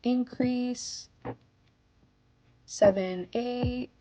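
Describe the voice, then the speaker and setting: A woman's voice: fairly high pitch, steady tone, extremely slow, low-quality recording. A woman narrating a step-by-step craft tutorial, often counting aloud, as its host. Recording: soft music bed under voice. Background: background music.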